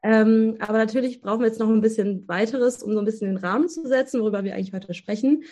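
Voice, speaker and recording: A woman's voice: on the high side, fast talker, warm tone. A twenty-year-old woman hosting a podcast, talking casually with frequent hesitations and filler sounds. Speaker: podcast host, association member.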